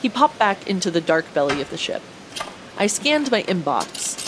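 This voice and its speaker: A woman's voice: medium pitch, sing-song, moderate pace, background noise, natural voice. A woman playing an anxious young starship pilot who narrates her own story in a first-person audio drama. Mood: curious narration.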